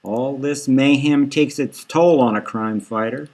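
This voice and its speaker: Female crime fighter, voiced by a male reader, gentle on the surface, full of guile, leading and unhurried, dark satire audiobook. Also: mid-range voice, lightly acted. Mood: coaxing. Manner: coaxing, soothing, leading questions.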